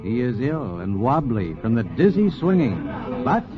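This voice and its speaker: A man narrating a scripted 1940s radio documentary with dramatic, deliberate emphasis. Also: medium pitch, big pitch swings, over music. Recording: dull 1940s radio recording.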